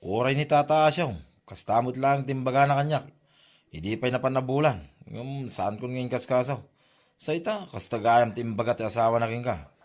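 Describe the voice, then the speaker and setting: A man's voice: mid-range voice, normal speed, lightly acted. A man with an Ilocano accent playing a village husband in conversation in a comedy drama. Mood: conversational. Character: village husband chatting with his wife.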